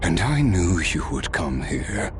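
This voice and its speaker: Weary ancient swordsman, extremely deep voice, slow grave delivery, soft game music behind. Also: sing-song, heavily acted. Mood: solemn. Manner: measured, grave storytelling, sometimes hushed. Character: weary ancient male swordsman, solemn and reflective. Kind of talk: video game cutscene voice acting. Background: game music score.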